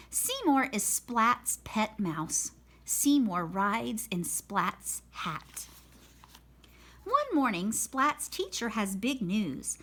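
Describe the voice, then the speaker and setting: A woman reading a children's picture book aloud in a slow, expressive storytelling manner. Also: medium pitch. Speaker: storybook reader. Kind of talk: children's read-aloud narration.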